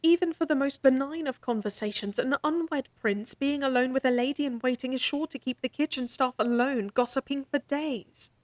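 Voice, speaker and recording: A woman's voice: high-pitched, normal speed, few pauses, dry recording, close to an everyday voice. An actress playing a proud young lady-in-waiting and court musician in a fantasy audio drama, formal and a bit exasperated. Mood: reserved. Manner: crisp, formal, slightly exasperated.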